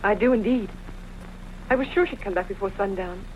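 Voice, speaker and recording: A woman's voice: mid-range voice, brisk, a little hiss or hum, close to an everyday voice. A woman acting a caring, anxious attendant to a princess in a dubbed costume film, with a solicitous, dramatic manner. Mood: concerned. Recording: lo-fi fan dub with music behind.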